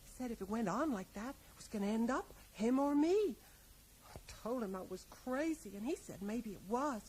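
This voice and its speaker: An actress plays a high-voiced American widow in a radio play. She is plain-spoken, resigned and unsure of herself, rambling along and tacking small questions onto her remarks. A faint noise sits on the recording. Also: lively intonation, normal speed, a little mellow, lightly acted, rough recording. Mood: matter-of-fact, reflective. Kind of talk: radio drama dialogue.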